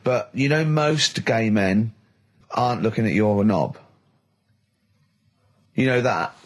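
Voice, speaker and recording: A man's voice: fairly low pitch, expressive, slow pace. A man co-hosting a radio show, arguing in casual, teasing comic banter.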